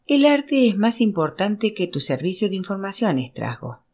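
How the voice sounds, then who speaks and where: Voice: medium pitch, very animated, normal speed, a little mellow. A storyteller performs a tiny, self-important man of the arts in an audiobook novel. He is grave and humorless, declaiming every phrase with ceremonious, theatrical courtesy. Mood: stern, haughty. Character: tiny pompous Bolivian male artist, solemn, humorless, grandiloquent.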